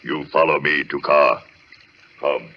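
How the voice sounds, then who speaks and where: A towering, menacing chauffeur with an extremely deep voice and a slow, commanding delivery. He speaks in terse, broken English. The old radio thriller recording is muffled, with faint noise. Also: fairly even intonation, gravelly, full character voice. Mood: curt, looming.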